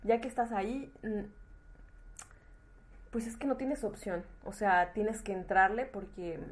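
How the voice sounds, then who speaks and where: Medium-pitched Latin American woman hosting. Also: unhurried. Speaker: podcast host. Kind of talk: casual chat, interviewing.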